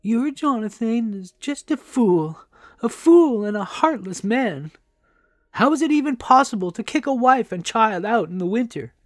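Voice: medium pitch, lively intonation, measured pace, no echo, studio quality, voice pushed up, lightly acted. A narrator voicing a kindly, chatty old grandmother in an audiobook, motherly and emphatic. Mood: indignant.

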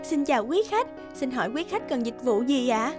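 A woman voicing a polite, brisk agency receptionist in a cartoon, with formal customer-service courtesy. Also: high-pitched, big pitch swings, music in the background. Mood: polite, businesslike. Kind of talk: animated moral-tale voice acting.

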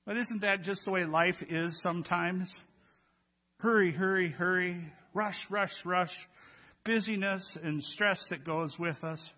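An older man in his sixties delivers a church sermon in a notably high-pitched voice. His tone is bright and forward. He talks to the congregation conversationally, as a pastor at the pulpit. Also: unhurried. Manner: conversational, addresses congregation with rhetorical questions. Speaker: pastor preaching. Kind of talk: Christmas Eve sermon. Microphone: gooseneck pulpit microphones.

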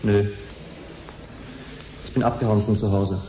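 An actor playing a sullen runaway son in a 1970s radio play, blunt and colloquial. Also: male voice, lowish voice, flat delivery, measured pace, warm tone, close to an everyday voice. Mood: sullen, matter-of-fact. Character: sullen, runaway teenage son who loves music. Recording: faintly noisy 1970s radio recording.

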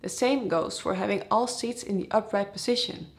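A woman's voice: medium pitch, moderate pace. Young Dutch-accented woman explaining. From Netherlands. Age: 20s. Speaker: vlogger, airline pilot. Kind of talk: scripted explainer to camera.

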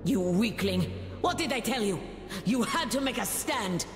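A scornful, domineering trader in a game. His high, scolding voice echoes through a hall with faint noise. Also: expressive, unhurried. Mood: angry, scornful. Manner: scolding, contemptuous. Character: irate, domineering male trader, scornful and foul-mouthed. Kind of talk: dramatic video game dialogue.

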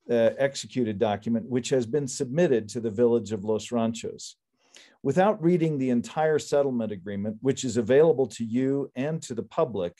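An older man speaking as an attorney at a public hearing over a video call, giving a formal, prepared summary in a measured, deliberate way. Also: medium pitch, warm tone. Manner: measured, deliberate, enumerating points. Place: indoor room on a video call. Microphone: computer video call microphone.

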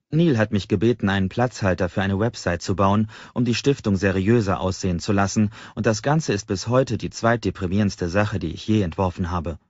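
A man's voice: deep voice, darkish tone, no echo, highs cut off. A man narrating a first-person novel as an audiobook in a scripted literary reading style.

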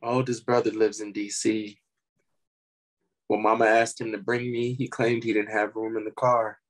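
A man's voice: on the low side, level tone, moderate pace, natural voice, rough recording. A man acting a bitter, weary, dying thirty-five-year-old at a script table reading.

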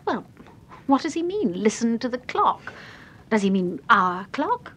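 A detective's affectionate British wife in an old radio thriller. Her voice is high and animated, with faint noise on the recording. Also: a little slow. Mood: puzzled.

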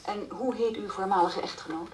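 An actress playing a tactful, methodical police inspector in a radio drama, speaking politely and formally. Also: female voice, mid-range voice, brisk, darkish tone, a little hiss or hum, lightly acted, rough recording. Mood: careful, composed.

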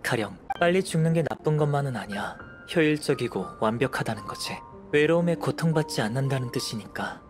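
An actor playing a pensive young alchemist scholar in an anime game, measured and soft-spoken. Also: male voice, medium pitch, animated, natural voice. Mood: contemplative. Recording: clean studio voice over background music.

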